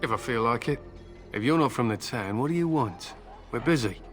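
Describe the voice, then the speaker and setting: A proud, stern male warrior from a fantasy game, acted by a man, speaks with curt solemnity. His pitch is middling, and music swells loudly behind him. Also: natural voice. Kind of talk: dramatic video game acting.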